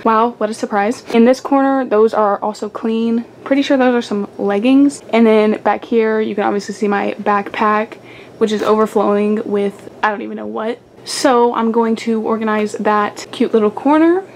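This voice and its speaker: A woman's voice: on the high side, steady tone, moderate pace, slight background noise. A young woman chatting to her viewers in a casual vlog, giggly and talkative, recorded on a handheld camera in a small bedroom. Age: young adult. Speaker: vlogger.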